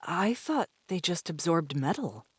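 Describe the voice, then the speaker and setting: Blunt, low-voiced bold woman survivor. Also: very animated, unhurried, breathy edge, no room sound, studio quality, full character voice. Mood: curious, observing. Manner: blunt, assertive. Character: tall, bold, decisive young woman survivor. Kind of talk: audiobook drama acting.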